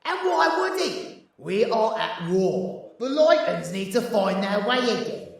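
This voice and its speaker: A man voicing a brash, zealous werewolf doorman in an audio drama, with a British accent and a forceful, laddish delivery. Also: high-pitched, sing-song, measured pace, heavy reverb, far from the mic, pitched above the performer's own voice, heavily acted. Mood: fervent.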